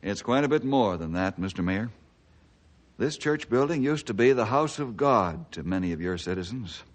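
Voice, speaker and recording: An actor playing an earnest, righteous forest ranger hero with an authoritative radio drama delivery. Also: male voice, lowish voice, lively intonation, normal speed, close to an everyday voice. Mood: firm, earnest. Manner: authoritative, declamatory. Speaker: lead ranger of a radio serial. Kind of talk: radio adventure drama acting.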